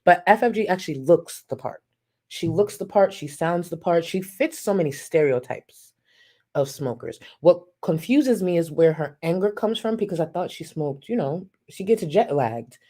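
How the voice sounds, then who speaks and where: Female livestream host, low-pitched and very expressive, sassy and cussing. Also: moderate pace. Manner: sassy, profane, mocking, laughs often. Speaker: streamer reacting to videos, reading super chats. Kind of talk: casual livestream commentary.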